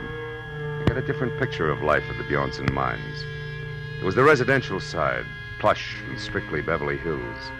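Tough private eye, American, very low voice, wry deadpan delivery, old radio drama, music behind. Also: male voice, low-quality recording. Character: hard-boiled male private detective, dry, unflappable, world-weary. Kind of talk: 1940s radio detective acting, first-person narration.

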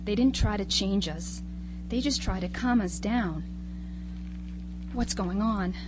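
Clear noise and hum sit behind a woman playing a sixteen-year-old girl in a live radio drama. She is cautious, earnest and afraid, her pitch in the middle female range. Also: takes it slowly, close to an everyday voice. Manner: earnest, uneasy.

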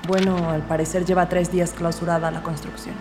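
An actress playing an earnest rookie woman detective, narrating her case in a measured, formal way in an audio drama. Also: lowish voice, little pitch movement, music in the background, natural voice. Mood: businesslike. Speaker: narrator and lead character.

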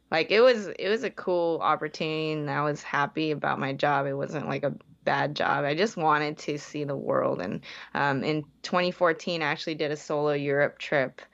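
Young woman from the Bay Area, very low voice, dull-sounding recording, chatty guest. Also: fairly bright tone. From San Francisco Bay Area. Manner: chatty, heavy use of "like", frequent self-restarts. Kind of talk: casual interview.

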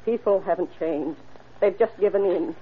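A woman's voice: on the low side, normal speed, husky. A brooding mother, tinny old radio. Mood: bitter, brooding. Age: middle-aged or older. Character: brooding, status-obsessed mother of grown sons, quietly unhinged. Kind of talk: old-time radio drama acting.

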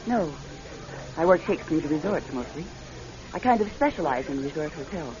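A woman's voice: sits low, lively intonation, normal speed, crowd noise. Dull lo-fi radio recording, poised woman. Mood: polite, reserved. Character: polite, poised divorced woman architect on holiday. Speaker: actress and narrator in a radio drama. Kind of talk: classic radio drama acting.

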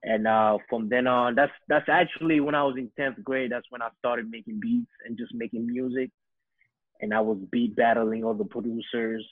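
A young man from Senegal talks about his music career. He speaks hesitantly, with lots of "uh" and "you know" as he thinks. His voice comes through a narrow, phone-like remote line with no room echo. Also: medium pitch. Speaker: music producer, guest. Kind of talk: relaxed interview answers.

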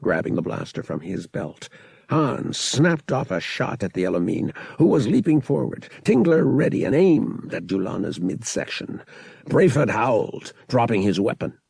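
Gravelly guy narrating an audiobook, very animated pitch, dramatic, husky, dry booth sound. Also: moderate pace, dry recording. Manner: expressive, suspenseful. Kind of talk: dramatic scripted storytelling.

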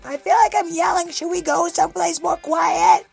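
Lady doing a squeaky eager schoolboy, bit crackly, kids audio story, earnest best buddy type. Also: steady tone, normal speed, soft background music, exaggerated voice. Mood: chummy, loud. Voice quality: high, creaky. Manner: eager, loud, emphatic. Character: chummy, earnest schoolboy in noise-canceling headphones. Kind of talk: children's audio story acting.

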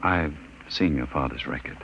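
An actor playing a gentle, earnest archaeologist from off-world in an old radio drama. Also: male voice, rumbling low, normal speed. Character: calm off-world male archaeologist. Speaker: archaeologist narrator. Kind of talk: 1950s radio drama acting. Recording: old radio broadcast with noise.

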